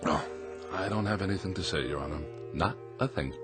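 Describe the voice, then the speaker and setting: An actor playing a weary, defiant convicted murderer in a radio drama, brooding and deliberate. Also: male voice, sits low, natural voice. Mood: stunned, hollow. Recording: music under the voice.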